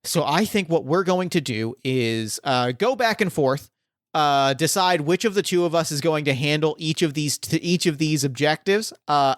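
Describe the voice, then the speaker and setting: A man's voice: on the high side, no room sound, studio quality. A man narrating a tabletop game as game master, rambling and thinking aloud with plenty of fillers.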